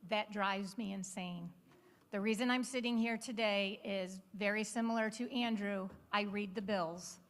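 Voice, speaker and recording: A woman's voice: medium pitch, steady tone, measured pace. A woman speaking emphatically and plainly to an audience as a state lawmaker on a panel. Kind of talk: semi-formal political speech to an audience.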